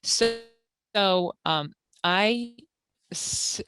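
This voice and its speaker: A woman who works at a community health center, calmly explaining eligibility rules and documents step by step with frequent fillers. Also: medium pitch, drawn-out words. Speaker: health center benefits counselor. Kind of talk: informational interview.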